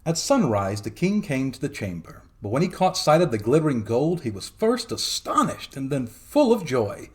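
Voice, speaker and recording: A man narrating a fairy tale as a storytelling host, in a theatrical, expressive dramatic reading. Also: medium pitch, normal speed, few pauses.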